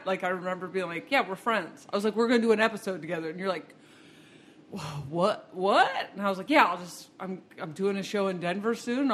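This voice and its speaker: A woman hosting a podcast, trading dry jokes in casual banter. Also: medium pitch. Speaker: podcast host. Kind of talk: casual chat.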